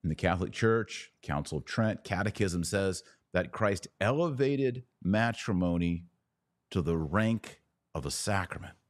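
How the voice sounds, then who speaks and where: A man's voice: low-pitched, lively intonation, measured pace, no room sound. An American man hosting a podcast, giving emphatic political and religious commentary and explaining point by point. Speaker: podcast host.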